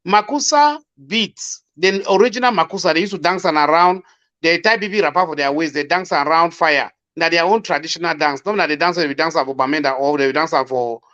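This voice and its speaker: Panel guest, man, Cameroonian Pidgin accent, pitched a little high, forceful and argumentative, muffled lo-fi audio. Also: a little fast. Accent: Cameroonian Pidgin English. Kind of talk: heated panel discussion.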